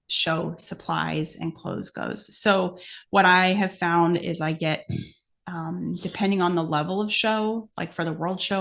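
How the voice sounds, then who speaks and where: A woman's voice: lowish voice, gravelly. An American woman in a casual podcast Q&A, explaining things in a practical way and thinking aloud as she goes. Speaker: podcast guest host, amateur horse show exhibitor.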